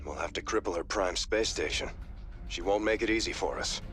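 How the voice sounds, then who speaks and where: A man voicing a stern, steady starship commander in a video game, speaking in a measured, serious, commanding way. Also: low-pitched, natural voice. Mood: grim, determined. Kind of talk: dramatic video game acting. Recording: loud game music behind.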